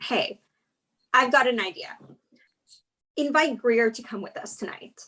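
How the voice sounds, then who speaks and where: Young woman, loyal and placating girlfriend played by an actress, slightly high voice, comedy table read. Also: measured pace, bright, forceful tone, poor audio.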